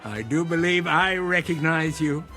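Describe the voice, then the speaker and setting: An actor playing a jovial, dandyish man with smooth, insistent charm, genteel and theatrical, in an audio drama. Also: a little high, lively intonation, measured pace, pushed and bright, soft background music, exaggerated voice. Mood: smooth, coaxing.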